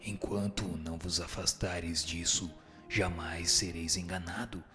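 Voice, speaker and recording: A man playing a wise spirit teacher who gives solemn, measured moral instruction in a scripted radio drama. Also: low-pitched, fairly even intonation. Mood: calm, instructive. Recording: music under the voice. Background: music.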